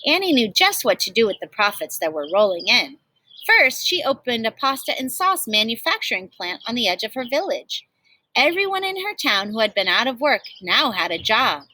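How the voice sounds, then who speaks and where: A woman's voice: fairly high pitch, very animated, normal speed, pushed and bright, slight background noise. A woman reading a children's storybook aloud expressively, like a teacher, with emphatic stresses and chatty asides.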